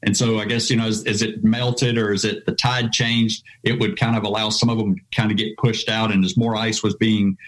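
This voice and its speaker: A man's voice: on the low side, little pitch movement, fairly quick, hardly any pauses, poor audio. An American man, an adventure travel writer, being interviewed on a podcast, rambling with lots of "you know" fillers. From United States.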